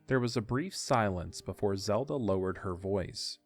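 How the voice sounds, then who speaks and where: A man's voice: fairly low pitch, animated, moderate pace, soft background music. A man narrating a scripted audio drama as its storyteller.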